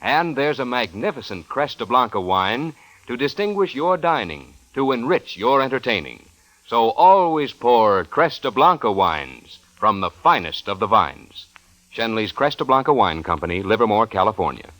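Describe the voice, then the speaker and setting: A man announcing a 1940s radio advertisement in a stately, deliberate manner. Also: mid-range voice, sing-song, fairly bright tone, no echo, rough recording. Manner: stately, spelling out names deliberately. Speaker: commercial announcer.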